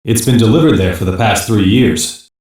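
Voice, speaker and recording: A man playing a sober security-police investigator in a sci-fi game, delivering measured, factual reports. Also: on the low side, a little mellow, lightly acted. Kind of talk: English animated sci-fi game voice acting. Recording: very echoey, distant-sounding game audio.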